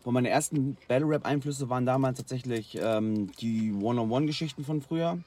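A man from Germany, a guest freestyle rapper, telling stories in relaxed slangy casual chat, worn out after a long night. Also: medium pitch, brisk. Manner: relaxed, slangy storytelling with fillers.

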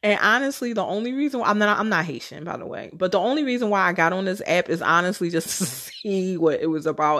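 A woman with a slightly low voice hosts a podcast solo. She talks casually and candidly, like telling friends a personal story, with slang and the odd swear word. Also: a bit bright. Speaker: podcast host.

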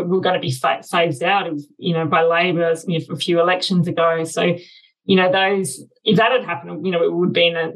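A woman's voice: fairly low pitch, vocal fry, low-quality recording. A woman with an Australian accent giving conversational expert commentary as an interview guest, explaining things in an informal way.

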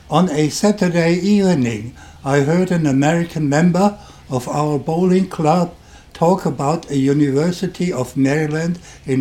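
An older man with a German accent, telling his own story on a podcast in a deliberate, wry and dryly sarcastic way. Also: a little high, warm tone. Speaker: podcast host. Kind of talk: first-person storytelling podcast.

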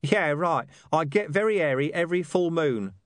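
A man's voice: high-pitched, big pitch swings, moderate pace, no echo, pitched above the performer's own voice. A man voicing a talking street dog from an audiobook, streetwise, sardonic and mercenary, with a slangy, dismissive manner. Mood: dismissive, sarcastic.

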